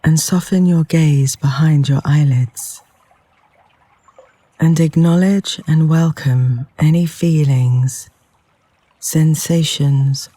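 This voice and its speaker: A man leading a guided yoga nidra meditation in a gentle, soothing, unhurried manner with long pauses between phrases. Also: a little high, husky, no room sound. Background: flowing river water sounds.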